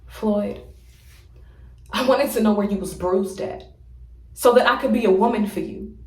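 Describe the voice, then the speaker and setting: A woman's voice: medium pitch, measured pace, a little mellow, reverberant, natural voice, low-quality recording. A woman performing a theatrical monologue as a wounded woman confronting the lover who left her, pleading and accusing with insistent repetition. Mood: hurt, accusing.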